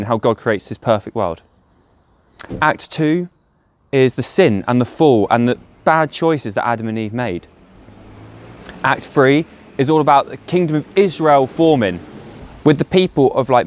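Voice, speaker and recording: A man's voice: medium pitch, a little mellow, dry recording. A man giving a short devotional talk as a church speaker, explaining earnestly and posing rhetorical questions. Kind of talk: short sermon talk to camera or listeners.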